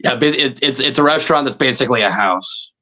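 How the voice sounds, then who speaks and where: A man in his thirties chatting casually as a podcast guest, halting and often repeating his words and phrases. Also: mid-range voice, dull audio, crowd noise. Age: 30s. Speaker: podcast guest, video creator. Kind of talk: casual interview chat.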